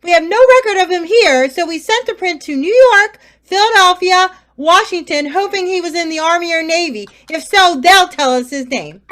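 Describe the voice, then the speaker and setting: An actress playing a confident police inspector in a radio play, brisk and matter-of-fact. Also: female voice, very high-pitched, fairly bright tone. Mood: briefing. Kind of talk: community theater radio play.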